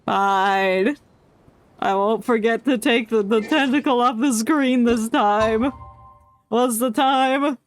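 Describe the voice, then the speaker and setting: Brief: female game streamer, fairly high voice, slow casual pace, playful and giggly, faint music. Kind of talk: casual playthrough commentary.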